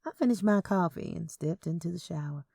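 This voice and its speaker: A woman's voice: on the low side, expressive, a little fast, gravelly, warm tone, no room sound, studio quality. A woman narrating a first-person audio story in a dramatic reading style.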